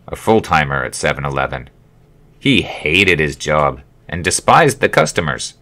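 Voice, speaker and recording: A man narrating his own memoir as an audiobook, with expressive, wry storytelling. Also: a bass voice. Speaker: author reading own memoir.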